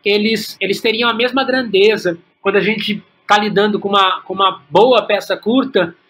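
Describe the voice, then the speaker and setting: Brazilian guy being interviewed, thinking out loud, repeats himself a lot, kinda halting. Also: high voice. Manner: halting, repeats words while thinking aloud. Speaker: guest playwright and director. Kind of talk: reflective interview conversation.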